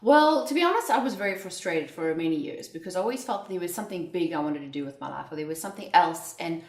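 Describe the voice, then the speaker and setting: A South African woman, an entrepreneur and interview guest, telling her story in an expressive conversational way and laughing often. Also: lowish voice, fast talker, echoey room. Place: indoors at a home.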